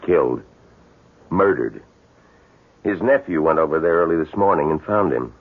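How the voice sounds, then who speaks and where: Muffled old radio sound carries a grim, blunt male police sergeant. His voice is deep and husky, with dramatic inflection. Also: expressive, moderate pace, exaggerated voice. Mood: grave, blunt. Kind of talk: 1970s radio drama acting. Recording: muffled 1970s radio broadcast.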